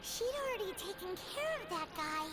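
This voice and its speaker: Very high, squeaky childlike companion. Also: female voice, very animated, moderate pace, crowd noise. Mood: curious. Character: tiny childlike female companion, curious and concerned. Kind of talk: cartoonish video game dialogue. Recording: loud game ambience behind the voice.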